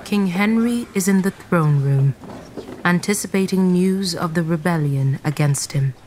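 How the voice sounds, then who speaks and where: A woman's voice: fairly low pitch, measured pace, darkish tone, slight background noise, poor audio. A woman reading scripted scene-setting narration for a radio drama.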